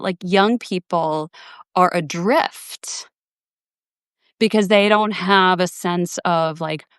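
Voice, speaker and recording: A woman's voice: medium pitch, clear, resonant tone, no room sound, studio quality. A woman in her forties, hosting a casual live video conversation, reflective and thinking aloud with many restarts. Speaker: host. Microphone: video call.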